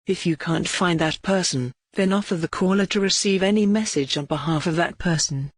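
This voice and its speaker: A tutorial narrator reading scripted instructions in a measured, formal way. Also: mid-range voice, no room sound, studio quality.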